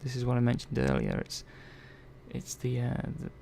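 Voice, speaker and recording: A man playing an earnest, hesitant robot repair technician in a soft-spoken ASMR roleplay, halting and trailing off mid-sentence. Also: medium pitch, wildly swooping pitch, gravelly, slight background noise, full character voice. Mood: musing.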